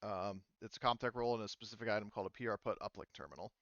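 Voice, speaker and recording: A man working as game master, narrating a tabletop roleplaying game casually and haltingly, often correcting himself and swearing. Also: on the low side, steady tone, fairly bright tone, no room sound, highs cut off.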